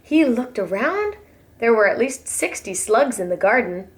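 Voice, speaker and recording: High, expressive woman reading a children's story. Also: measured pace. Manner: animated, dramatic storytelling. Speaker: preschool teacher reading aloud. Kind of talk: expressive children's picture book narration.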